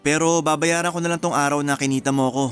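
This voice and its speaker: An actor plays an easygoing male groom-to-be, a bit clueless and apologetic. His voice sits fairly high for a man, and he banters casually in radio-drama style over faint music. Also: steady tone, fast talker, a bit bright, close to an everyday voice. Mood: relaxed, explaining. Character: relaxed, joking, apologetic groom-to-be, clueless about weddings. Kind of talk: Filipino radio drama dialogue.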